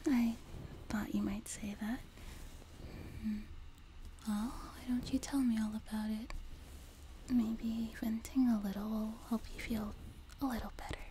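A woman playing a sweet, nurturing young demon girl in an ASMR roleplay, speaking in a soft, breathy whisper with a gentle, reassuring manner. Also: on the high side, steady tone, natural voice, low-quality recording. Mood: soothing, sympathetic. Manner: gentle, reassuring, slow and unhurried. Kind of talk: whispered ASMR roleplay, intimate personal attention. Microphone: close binaural microphone. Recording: noticeable background noise, close binaural mic.

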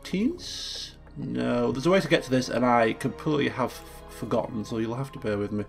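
Male let's play host, mid-pitched and gravelly, croaky and chatty, thinking aloud. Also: normal speed, faint music behind. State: croaky from just waking up. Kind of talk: casual gameplay commentary.

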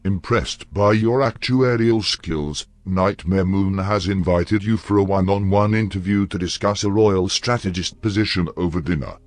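Low-voiced formal male interviewer. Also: normal speed, hardly any pauses, no room sound. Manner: formal, ornate wording. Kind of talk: scripted interview questions. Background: music behind the voice.

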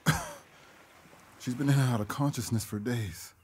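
An actor portrays an exhausted, humble village healer in an audio drama. He speaks haltingly but with wide swings in pitch. Faint ambient noise sits behind the voice. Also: huge pitch swings, darkish tone. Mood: weary. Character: exhausted, kindly male village healer, shipwreck survivor.

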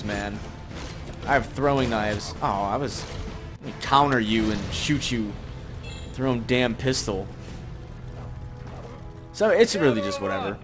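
A young man giving casual gameplay commentary, rambling and sarcastic with some swearing. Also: lowish voice. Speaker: gaming video host. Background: game music and effects.

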